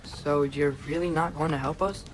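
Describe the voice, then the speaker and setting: A man's voice: on the high side, fairly even intonation, moderate pace, slight background noise, natural voice. A young man playing an earnest, questioning teenage boy, a warrior god's son, in a video game, speaking casually and probingly. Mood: hopeful. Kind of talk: video game character acting.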